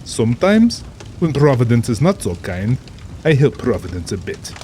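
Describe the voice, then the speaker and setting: Over rain and ambience comes a man acting a middle-aged aristocratic general in a radio-style drama. He is urbane and cold-blooded, with formal diction and a pompous, amused air. His voice sits at a medium male pitch. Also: very animated, moderate pace, a little mellow, a little hiss or hum, close to an everyday voice. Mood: cold, menacing.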